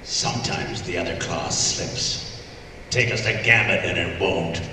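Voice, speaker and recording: An actor voicing a gruff, sarcastic, threatening male mutant fighter at a live table read of an animated series. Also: sits low, measured pace, heavily acted. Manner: tough, threatening. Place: large convention hall, live on stage. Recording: echoey hall PA from far off, noisy.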